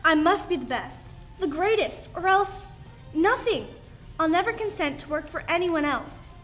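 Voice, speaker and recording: A woman's voice: very high voice, lively intonation, unhurried, a bit bright, soft background music, full character voice. A teenage girl playing a proud, desperate woman surgeon in a school radio play, with dramatic, declamatory delivery. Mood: brooding.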